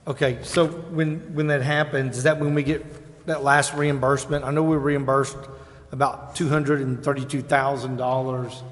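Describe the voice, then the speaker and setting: A man's voice: medium pitch, level tone, normal speed. Man chairing in a reverberant hall. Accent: American. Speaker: council meeting chairman. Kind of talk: formal meeting procedure, reading agenda items. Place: indoor council chamber. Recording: echoing council chamber.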